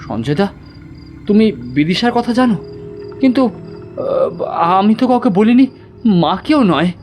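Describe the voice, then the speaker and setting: A man's voice: high voice, lively intonation, unhurried, creaky, a little mellow, soft background music, exaggerated voice. A young man playing a nervous college student and aspiring writer who talks hesitantly and anxiously. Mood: frightened. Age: young adult. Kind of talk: audio drama acting.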